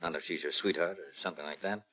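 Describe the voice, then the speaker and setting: An actor playing a confident, argumentative police investigator, punchy and needling, in 1940s radio detective drama style. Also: male voice, sits low, fast, bright, forceful tone. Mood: challenging, needling. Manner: punchy, challenging. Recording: muffled vintage radio recording.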